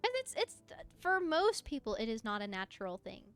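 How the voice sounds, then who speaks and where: A woman chatting casually on a livestream as she plays, answering viewers in an expressive, encouraging, effusive way with a mezzo voice. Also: fairly high pitch, brisk, clean tone, faint music behind. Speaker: VTuber live streamer. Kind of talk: casual livestream chat while gaming, answering viewer comments.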